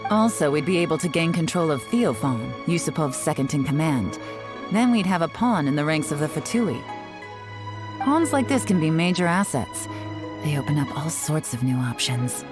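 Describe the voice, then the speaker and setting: A woman's voice: sits low, lively intonation, normal speed, music in the background. A woman playing a sly, confident secret agent in a video game, poised and playfully knowing. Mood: cool, calculating.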